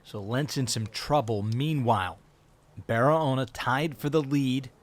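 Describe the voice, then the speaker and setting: A man doing play-by-play commentary for a televised golf broadcast, measured and steady. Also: mid-range voice. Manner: measured, builds up excitement when announcing a winner.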